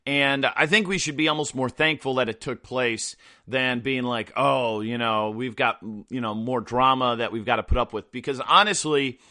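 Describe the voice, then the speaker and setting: A man hosting a podcast in casual chat, his voice hoarse and rough, rambling with many fillers. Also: medium pitch, normal speed, sharp tone. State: hoarse after a weekend of travel and partying. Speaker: podcast host.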